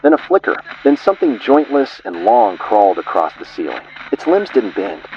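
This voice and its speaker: A man's voice: mid-range voice, big pitch swings, moderate pace, gravelly, lo-fi sound. A man giving ominous scripted horror narration, delivered in short clipped fragments.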